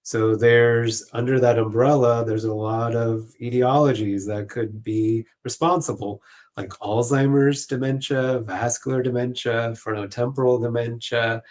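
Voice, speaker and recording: A man's voice: medium pitch, steady tone, measured pace, darkish tone. A man speaking as an expert panel guest, explaining informally and choosing his words with some hesitation. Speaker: clinical social worker, panel guest.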